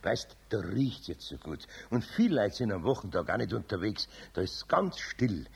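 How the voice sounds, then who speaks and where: An actor playing a good-natured, grumbling older master craftsman with a Bavarian accent in a children's radio play, husky and homely. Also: fairly low pitch, big pitch swings, normal speed, exaggerated voice. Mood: cheerful, longing.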